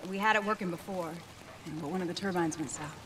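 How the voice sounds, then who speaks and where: A woman's voice: lowish voice, fast, background noise, lightly acted. A woman playing a welcoming, no-nonsense settlement leader in a video game drama, direct in manner. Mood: composed, wry.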